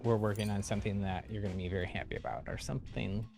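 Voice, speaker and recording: A man with a fairly low, noticeably creaky voice gives casual live gameplay commentary. He is chatty and easygoing, and music plays clearly behind him. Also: steady tone, rapid-fire. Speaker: game livestreamer.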